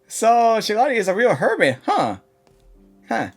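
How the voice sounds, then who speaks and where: A woman's voice: medium pitch, wildly swooping pitch, moderate pace, slightly rough. A woman streamer giving casual live reactions as she plays a game. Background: game music.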